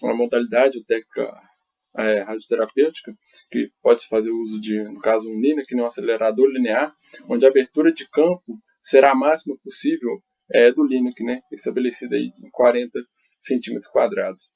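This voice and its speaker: Male Brazilian researcher for a conference paper, mid-pitched and raspy, halting delivery with frequent tag questions, slightly muffled recording. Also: steady tone, darkish tone. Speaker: researcher presenting a study. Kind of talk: academic conference presentation. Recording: dull, lo-fi audio.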